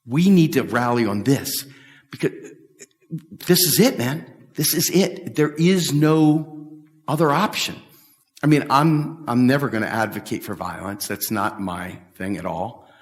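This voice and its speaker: A man's voice: mid-range voice, a little slow. Emphatic man speaking in a big hall. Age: middle-aged. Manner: emphatic, repetitive, talks with big hand gestures. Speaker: speaker at a policy event. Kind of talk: animated public talk and Q&A. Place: large bright hall with windows, standing before a stage table. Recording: echoey hall from far off.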